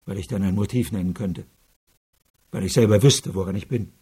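A senior prosecutor in a radio crime drama. His voice is heavily rasping, low and mellow. He questions insistently, troubled and searching for reasons. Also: fairly quick. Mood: troubled, probing. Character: troubled, probing senior male chief prosecutor.

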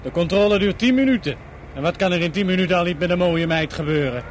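An actor playing a cynical, talkative Paris taxi driver who grumbles coarsely in a radio play. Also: male voice, sits high, quick pace, close to an everyday voice. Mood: cynical, grumbling. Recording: loud car and street noise behind. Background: car engine and traffic.

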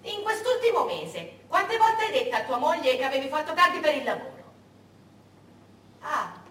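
Far off echoey stage, spiteful village woman, accusing everyone, piercing high voice. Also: bright, forceful tone, heavily acted. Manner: taunting, accusing, theatrical. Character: bitter jealous village woman denouncing neighbours, taunting. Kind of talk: stage monologue. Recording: echoey stage, distant mic, faint noise.

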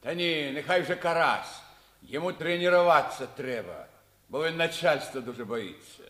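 A man's voice: fairly high pitch, measured pace, a bit bright, roomy sound. A man playing a cheerful young Red Army soldier with a Ukrainian accent and a folksy, joking way of talking in a 1950s Soviet radio play. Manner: folksy, jocular storytelling.

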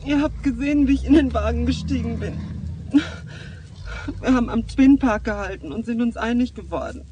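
Very high, plaintive young street transvestite. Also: male voice, normal speed, noisy background. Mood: shaken. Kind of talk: German radio drama acting.

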